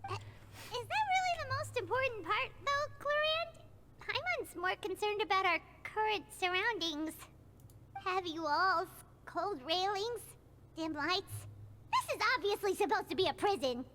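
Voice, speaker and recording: A woman voicing a small, chatty, easily worried fairy companion in an anime video game, with a squeaky childlike voice. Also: lively intonation, a little slow, pushed and bright, slight background noise, heavily acted. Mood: uneasy. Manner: refers to self in third person, hesitant. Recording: music playing behind the voice.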